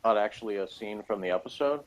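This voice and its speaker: A man's voice: on the low side, fast, poor audio. A man giving a casual review in conversation, blunt and dryly sarcastic, rambling as he talks. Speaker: guest reviewer of a TV episode.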